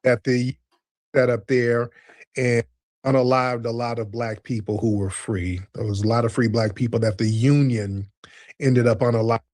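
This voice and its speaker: Forceful lecturing man hosting a stream. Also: mid-range voice, fairly even intonation, normal speed, no echo, clean studio sound. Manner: lecturing, interrupting, insistent. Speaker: stream host. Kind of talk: heated live debate.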